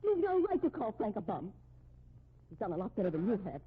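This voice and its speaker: An actress playing an anxious, reproachful, respectable sister of a fugitive in a 1950s radio drama, agitated and scolding, on a muffled old recording. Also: female voice, mid-range voice, sing-song, brisk, a little hiss or hum, natural voice.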